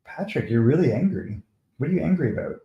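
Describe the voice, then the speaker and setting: A man from Canada hosts a casual hockey livestream, talking over the game and answering chat. His voice sits at a medium pitch, and he sounds chatty and a little defensive. The recording has a roomy, echoey sound. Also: very animated, fast, vocal fry, warm tone, muffled recording. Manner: chatty, defensive, talks to viewers by name. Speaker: live stream host. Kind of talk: casual live commentary, replying to chat.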